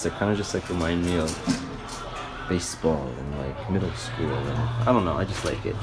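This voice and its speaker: Low-voiced young man chatting casually. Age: young adult. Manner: rambling, loose and slangy, with frequent "like". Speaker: vlogger. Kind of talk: casual vlog chat. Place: parked car, later small store fitting room. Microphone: camera or phone at arm's length.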